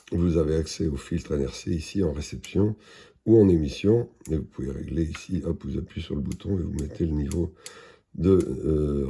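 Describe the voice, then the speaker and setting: A man giving an unscripted product demonstration in a halting, matter-of-fact, explanatory way. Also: rumbling low, vocal fry, dark tone, breathy edge. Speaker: product presenter.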